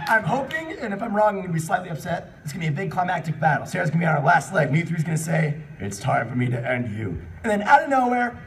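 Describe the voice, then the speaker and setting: A man's voice: high-pitched, sing-song, quick pace, hardly any pauses, background noise. A man hosting a live comedy reading, exclamatory and riffing, breaking from the text into casual asides. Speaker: host and reader at a live comedy panel. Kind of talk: animated comic read-aloud with casual asides. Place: convention panel room. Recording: distant mic, live audience chatter.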